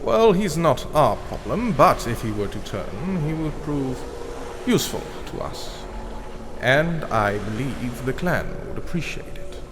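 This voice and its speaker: A man's voice: mid-range voice, big pitch swings, measured pace. A man acts out a scheming vampire researcher in a fantasy drama. His voice is mid-range, formal and coldly clinical. It carries long reverb over noticeable background noise. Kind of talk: scripted fantasy voice acting.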